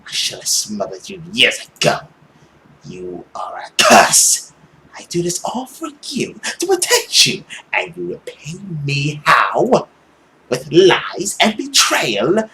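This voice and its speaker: Visual novel villain: abusive, self-pitying mother played by a man, harsh, raised pitch, wildly varied delivery. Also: wildly swooping pitch, takes it slowly, pushed and bright, slight background noise, exaggerated voice, low-quality recording. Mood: bitter rage. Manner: harsh, scolding, dramatic.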